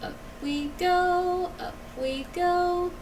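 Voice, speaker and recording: A woman's voice: very high-pitched, fairly even intonation, drawn-out words, over music. A woman giving casual live commentary while gaming, in short musing remarks to herself. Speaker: game streamer.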